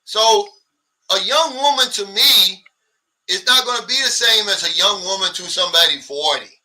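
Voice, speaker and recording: Blunt man in his sixties, bright high voice. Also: moderate pace, recorded from a distance, rough recording. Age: 60s. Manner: blunt, animated, mimics others' complaints. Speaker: livestream host. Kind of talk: casual livestream chat reading viewer comments.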